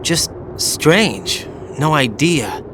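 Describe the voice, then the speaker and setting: A man's voice: on the high side, animated, drawn-out words, noisy background. An actor playing an earnest, troubled young soldier in a video game, intense and searching in his delivery. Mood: puzzled. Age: young adult. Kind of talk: dramatic video game voice acting. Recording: music behind the voice.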